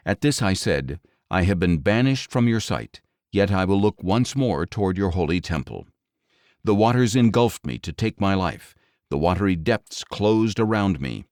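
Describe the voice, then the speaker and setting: A man's voice: low voice, darkish tone, no echo, clean studio sound. A man voicing a grave, resigned, devout prophet, solemn and earnest, in a scripture audiobook. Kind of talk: dramatic audiobook scripture reading.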